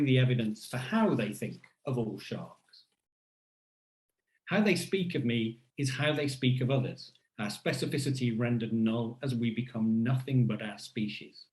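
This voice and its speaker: Man in a roomy space, deliberate rhetorical delivery. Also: medium pitch, darkish tone. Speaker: academic keynote speaker. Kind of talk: scripted first-person lecture narration in the persona of a shark.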